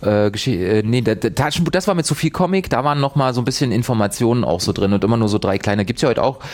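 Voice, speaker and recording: A man's voice: mid-range voice, expressive, fast talker. A man co-hosting a casual radio chat, rambling along, hunting for words and correcting himself. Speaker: radio co-host.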